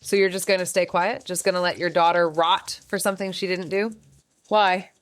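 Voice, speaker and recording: A woman's voice: fairly low pitch, steady tone, moderate pace, smooth, lightly acted. An actress playing a dogged, hard-boiled woman investigator in a noir audio drama, speaking in terse, dry, challenging phrases. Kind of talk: clipped first-person noir audio drama.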